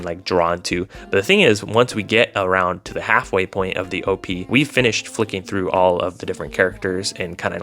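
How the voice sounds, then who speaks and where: A man's voice: deep voice, bright, forceful tone. A man giving casual, informal commentary as a video host, talking in a rambling, hedging way. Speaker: video host reviewing visual novels. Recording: voice over background music. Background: music.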